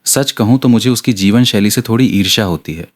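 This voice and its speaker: A man's voice: on the low side, a little fast, natural voice. A man voicing a popular young actress who speaks candidly and reflectively in an audio story. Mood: wistful, candid.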